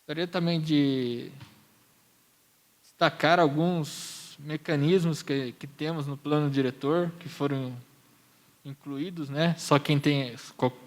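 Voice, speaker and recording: A Brazilian man giving a measured, explanatory presentation at a public hearing. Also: fairly high pitch, fairly even intonation. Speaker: municipal planning presenter.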